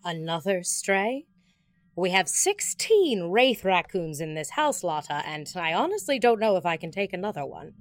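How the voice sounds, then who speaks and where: A woman's voice: on the low side, big pitch swings, normal speed, dry recording, faint music behind. A woman playing a practical, long-suffering ghost with a wry, skeptical manner in an audio drama. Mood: wry, exasperated. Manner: dry, exasperated, questioning.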